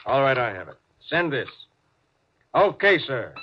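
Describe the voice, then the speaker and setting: An actor playing a resolute spaceship captain in a 1950s radio drama, blunt and commanding. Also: male voice, medium pitch, very animated, measured pace. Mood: firm, urgent. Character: gruff, resolute male spaceship captain negotiating first contact. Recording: dull, noisy 1950s radio recording.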